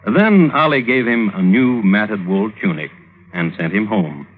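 An actor playing a wry storyteller, narrating in a measured, conversational vintage radio style. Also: male voice, lowish voice, lively intonation. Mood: wry storytelling. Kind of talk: 1950s radio scripted narration. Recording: muffled, noisy old radio recording.

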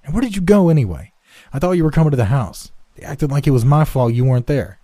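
A man voicing a nervous, defensive young boy in a story, blurting out halting and indignant protests. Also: mid-range voice, dark tone, husky, dry recording, clean studio sound, pitched above the performer's own voice. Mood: fierce, accusing.

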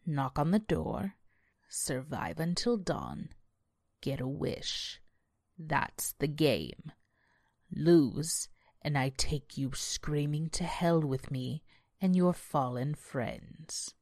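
A woman voicing a massive demonic devil dog from a horror story, guttural and deliberate, menacing yet loyal. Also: sits low, very animated, darkish tone, dry recording, clean studio sound, heavily acted. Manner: slow, deliberate menacing delivery.

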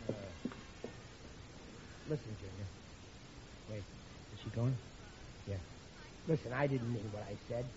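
A man's voice: on the low side, a little slow, pauses often, natural voice. An American man acting a blustery, put-upon working-class father in a 1940s radio sitcom, exclaiming and grumbling in colloquial speech. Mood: confiding. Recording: noisy, dull old radio recording, audience behind.

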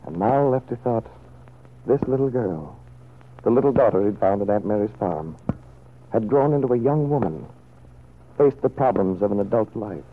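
A man's voice: on the low side, lively intonation, normal speed, long pauses. A man narrating a scripted old-time radio serial as a storyteller. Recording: muffled, noisy vintage radio recording.

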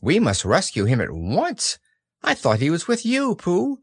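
An earnest, caring young boy in a storybook, acted out by a man. He talks in eager exclamations. The voice is lifted high and very lively. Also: unhurried, husky, no room sound, studio quality, full character voice. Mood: urgent.

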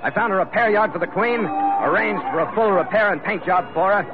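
A man's voice: sits high, brisk, close to an everyday voice. Man playing a confident old-time radio sea captain. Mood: satisfied, relieved. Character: seafaring ship's captain narrating his adventures, confident. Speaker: actor narrating and playing the lead. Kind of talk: 1940s radio adventure acting. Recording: dull old radio recording, loud music behind.

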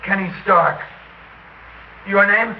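A man's voice: high-pitched, takes it slowly, gravelly, heavily acted. A male actor playing a helpless, wounded American helicopter gunner in a radio drama, blunt and faltering. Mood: bitter, weary. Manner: blunt, faltering, breaking off phrases. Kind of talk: classic radio drama acting. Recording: dull, roomy, noisy old radio recording.

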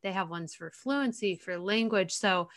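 A woman in casual podcast conversation with a bright, smooth, crisp voice. She talks in an enthusiastic, chatty way as she passes on tips. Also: medium pitch, unhurried. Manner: chatty and enthusiastic, recommends resources. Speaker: podcast co-host, speech-language pathologist. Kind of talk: casual professional podcast chat.

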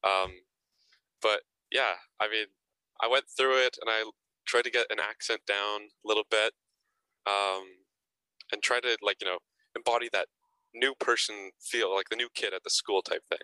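A young man answers interview questions in a casual, halting way, stumbling over and repeating his words. His voice sits low yet sounds very bright and edgy. The recording is close and dry. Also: fairly even intonation, no room sound, poor audio. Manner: hesitant, repeats words, frequent fillers. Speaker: actor guest.

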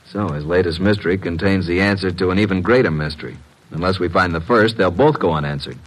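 A man's voice: low-pitched, fast talker, noisy background, lightly acted. An actor playing a brash, loyal detective's legman who talks in plain slang, in classic radio mystery style. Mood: probing. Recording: old radio drama recording.

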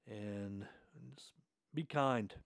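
A man's voice: fairly low pitch, takes it slowly, vocal fry, no echo, clean studio sound. A middle-aged man hosting a podcast in a friendly, easygoing way. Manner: friendly, easygoing, laughs often. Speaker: podcast host. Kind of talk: casual podcast chat. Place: podcast room.

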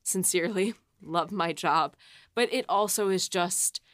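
A woman's voice: lowish voice, unhurried, no room sound. Chatty woman in a dry, close recording. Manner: chatty, emphatic, peppers talk with "like". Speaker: podcast host and production coordinator. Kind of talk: casual behind-the-scenes chat.

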